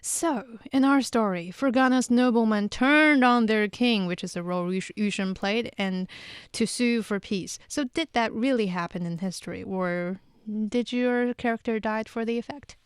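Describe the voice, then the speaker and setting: A woman's voice: on the high side, moderate pace, smooth, no room sound, clean studio sound. A woman hosting a casual podcast history quiz, reading out questions and explaining the answers in a chatty way.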